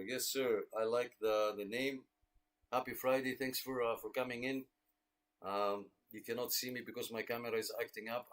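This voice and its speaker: A man hosting a casual livestreamed radio club roll call, greeting and thanking listeners in a friendly way. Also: mid-range voice. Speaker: host of a radio club check-in net.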